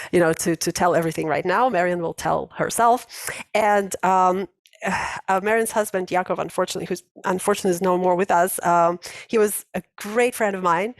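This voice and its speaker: A woman's voice: on the low side, no echo. Woman host, 40s, chatty and laughing, dry close video-call sound. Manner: chatty, laughs often, many restarts. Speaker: host of an English conversation class. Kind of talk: casual online class chat.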